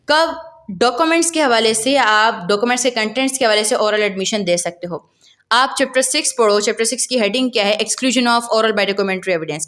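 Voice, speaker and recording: A woman with a Pakistani accent speaks in a fairly high voice as a law lecturer. She explains step by step in a didactic manner, repeating and rewording each point as she goes. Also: fairly bright tone. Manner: didactic, repeats and rephrases points, checks in often. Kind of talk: explanatory lecture, reading statutes aloud and explaining them.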